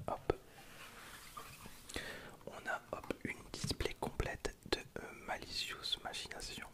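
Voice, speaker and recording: An ASMR host speaking in a soft, breathy whisper, chatting casually and informally to viewers in an unscripted, rambling way. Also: mid-range voice. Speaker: host of an ASMR card-opening video channel.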